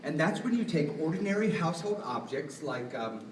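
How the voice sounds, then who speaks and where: A man's voice: on the high side, very animated, normal speed, a little hiss or hum. A man, a puppeteer, playfully introducing a live show to children and putting questions to his audience. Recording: echoey room, distant mic, music behind.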